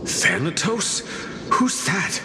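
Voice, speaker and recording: An actor playing a courteous, excitable, talkative male character in a video game, with a theatrical delivery. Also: high voice, takes it slowly, background noise, full character voice. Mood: astonished. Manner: theatrical, swinging between hushed and astonished. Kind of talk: dramatic video game dialogue. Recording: loud music over voice. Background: loud game music.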